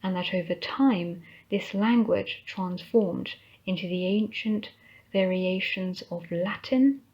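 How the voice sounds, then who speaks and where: A British woman, an English teacher, narrating a scripted lesson in a slow, careful, measured way. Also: mid-range voice, a little mellow, slightly rough. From Britain. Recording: dull lo-fi recording.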